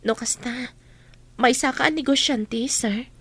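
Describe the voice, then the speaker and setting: A woman's voice: fairly high pitch, unhurried, a bit bright, breathy edge. A woman acting a burdened, stoic eldest daughter hiding her grief in an Ilocano radio drama. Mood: guarded, bitter.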